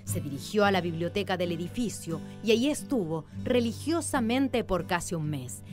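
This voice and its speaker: A woman with a low voice narrates a radio drama. Her delivery is measured and literary, like a storyteller's, and music plays behind her. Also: lively intonation.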